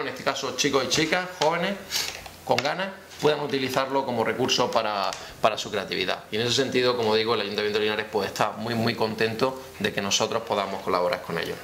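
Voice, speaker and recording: A man giving a semi-formal press conference speech as a town councillor, repeating phrases rhetorically. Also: mid-range voice. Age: 30s. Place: indoor press room, seated at a table. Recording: noticeable room background noise.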